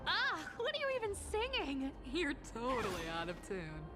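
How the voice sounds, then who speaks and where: A woman's voice: sits high, huge pitch swings, moderate pace. A woman voicing a sly, playful, vain thief boss in a video game, with a teasing, haughty manner. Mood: mocking. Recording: loud game music over the voice.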